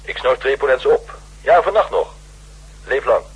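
Husky, brisk army officer. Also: male voice, medium pitch, very animated, full character voice, poor audio. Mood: eager, excited. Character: brisk, eager male army liaison officer. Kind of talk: 1960s Dutch radio drama acting.

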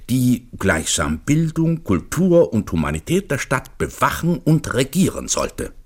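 An actor as the narrator of a satirical radio play, reading literary prose as a storyteller. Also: male voice, medium pitch, slightly rough. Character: wry male literary narrator of a satirical tale.

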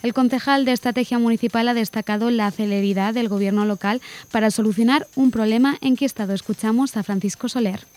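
A woman presents local radio news, her slightly high voice moving fast through the script. Her delivery is brisk and even, recorded cleanly on a studio mic. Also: a little hiss or hum.

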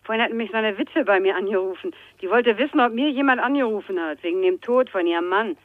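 A woman's voice: mid-range voice, fast, no room sound, close to an everyday voice. A woman playing a chatty, gossipy working-class cleaning lady in a radio drama, rambling colloquially and eager to tell. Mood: gossipy, suspicious. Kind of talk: German radio crime drama acting. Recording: slightly dull, dry radio recording.

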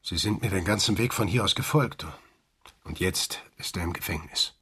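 A man playing a troubled, gentle middle-aged father in a radio play, with a husky, breathy voice. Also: deep voice, moderate pace, heavily acted. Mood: worried, gentle.

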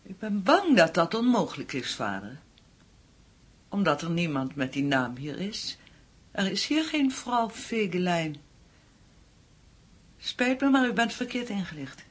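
A woman's voice: lowish voice, sing-song, breathy edge, full character voice. A woman in a radio play playing a dignified, guarded mother superior, speaking formally and firmly. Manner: formal, measured, firm.

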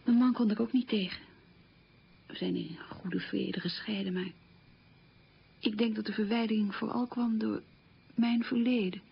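An actress playing a frugal, middle-aged divorced woman haunted by wartime poverty, confiding and explaining herself in a radio play monologue, with a husky, creaky voice. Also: mid-range voice, steady tone, normal speed, long pauses. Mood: reflective. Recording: dull lo-fi old radio recording.

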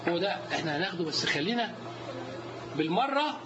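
Fast, high-voiced Egyptian man teaching. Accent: Egyptian Arabic. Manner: lively, quizzes the students often. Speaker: instructor. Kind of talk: live classroom lecture. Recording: dull lo-fi recording with steady noise.

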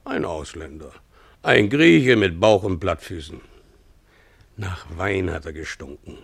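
A man's voice: deep voice, expressive, slow pace, creaky, husky, no room sound, exaggerated voice. A man playing a resentful, pious, poor donkey driver in a German radio play, grumbling with sanctimonious grievance. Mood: resentful, disdainful. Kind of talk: German radio play, character monologue and dialogue.

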